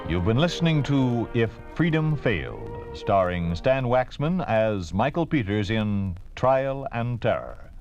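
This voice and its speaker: A man's voice: lowish voice, sing-song, a little slow, few pauses, a little mellow. An American man delivering scripted narration as a solemn, formal 1950s radio announcer. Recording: dull, band-limited old radio recording. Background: dramatic music.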